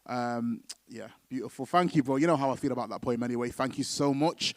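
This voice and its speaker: A man's voice: medium pitch, a little fast, dry recording, studio quality. A man hosting a live poetry event, talking casually and hyping the audience. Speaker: event host.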